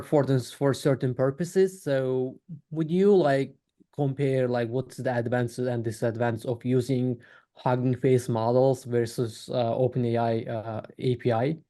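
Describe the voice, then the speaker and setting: Man, mellow voice, workshop attendee, polite, hesitant, video call. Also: mid-range voice. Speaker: workshop attendee asking a question. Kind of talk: questions after a talk.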